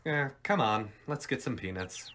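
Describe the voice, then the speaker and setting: Man acting a cocky, wisecracking radio sleuth, lively delivery. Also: medium pitch, measured pace, slight background noise. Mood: wry, relaxed. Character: cocky, wisecracking young male amateur sleuth. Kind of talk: vintage radio theatre acting.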